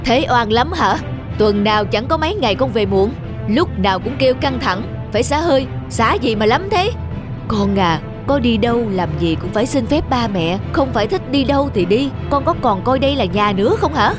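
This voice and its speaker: A woman voicing a stern, worried mother who nags and reproaches her teenage son in an animated drama. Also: a little high, normal speed, music in the background, lightly acted. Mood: scolding. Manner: nagging, reproachful.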